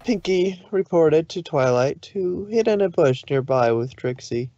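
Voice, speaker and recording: A man's voice: fairly high pitch, lively intonation, measured pace, dark tone, no room sound, muffled recording. A man narrating a fan fiction story aloud in an informal read-through.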